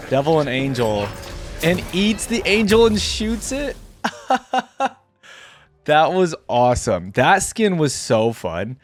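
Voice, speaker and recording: A young man giving casual, laid-back reaction commentary, thinking aloud as host. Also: mid-range voice.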